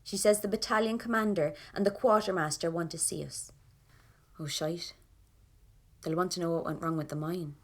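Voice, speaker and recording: A woman's voice: on the low side, fairly even intonation, normal speed, slight background noise, close to an everyday voice. An actress with a Dublin accent playing a defiant young rebel woman of the 1920s, telling her story in a colloquial, wry, dramatic way. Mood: wry, uneasy. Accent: Irish, Dublin. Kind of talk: first-person audio drama narration.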